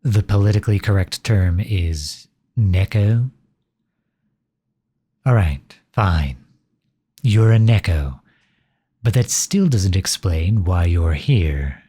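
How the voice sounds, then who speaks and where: Guy acting as a grumpy flustered asmr dude, deep mellow voice, sarcastic and grudging. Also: expressive, takes it slowly, pauses often, husky, heavily acted. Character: grumpy, exasperated male ASMR creator, tsundere.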